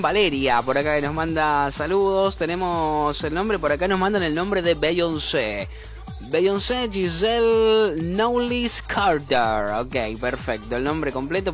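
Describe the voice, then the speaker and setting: A playful Argentine radio host with a fairly high voice reads out listener messages and laughs easily between them. Soft music plays behind him. He keeps up a loose, joking chat and counts down the chart. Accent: Argentine Spanish.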